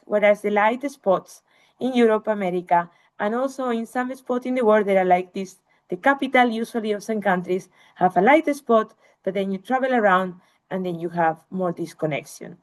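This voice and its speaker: Woman giving an academic webinar talk. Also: medium pitch. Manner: explanatory, walking listeners through slides. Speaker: researcher presenting a webinar.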